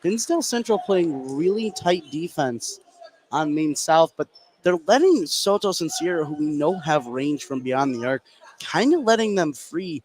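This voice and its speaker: A man's voice: on the high side, animated, unhurried, darkish tone, rough recording. An American man working as a color commentator, giving analysis during a live basketball broadcast. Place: high school basketball gym. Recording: faint gym noise behind the voice. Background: gym ambience.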